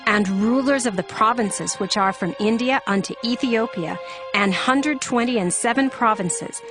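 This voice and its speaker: A woman narrates scripture in a solemn, measured storytelling manner. Her voice is of medium pitch, and music plays prominently behind the scripted reading.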